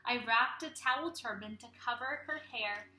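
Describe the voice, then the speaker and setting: A young woman teacher reading a storybook aloud to young children in an animated, expressive way, speaking to them directly. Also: on the high side, normal speed. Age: young adult. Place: small room at home. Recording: roomy, echoey home webcam sound.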